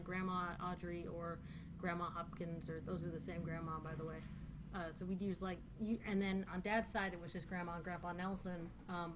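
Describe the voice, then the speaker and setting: Woman, central Northern Californian accent, rambling casual chat, many fillers, lowish voice. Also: background noise. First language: English. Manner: rambling, filler-heavy, chatty. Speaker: vlogger. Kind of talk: casual chat answering a dialect questionnaire.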